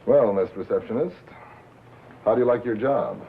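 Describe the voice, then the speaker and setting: An actor playing a wry, guarded, quietly troubled radio reporter in a 1950s film drama, with dry, understated, clipped replies. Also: male voice, medium pitch, moderate pace, close to an everyday voice. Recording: tinny, noisy 1950s film soundtrack.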